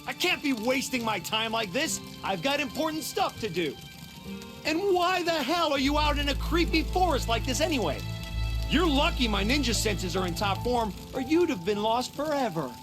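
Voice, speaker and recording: An actor playing a brash, hot-tempered young ninja in an anime-style video game, scolding and impatient. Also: male voice, very high-pitched, animated, normal speed, music in the background. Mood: irritated.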